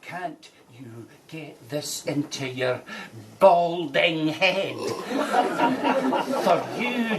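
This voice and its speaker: A flustered bank manager played by a woman in a stage comedy, speaking slowly with stammering, fussy exasperation and growing agitation. The voice is picked up from a distance with faint noise behind it. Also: sits low. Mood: worn out, outraged. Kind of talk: broad comic stage acting.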